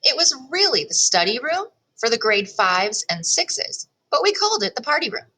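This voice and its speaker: A woman reading a children's chapter book aloud in an expressive first-person storytelling style, leaning on key words. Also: on the high side. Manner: animated, storytelling delivery with deliberate emphasis.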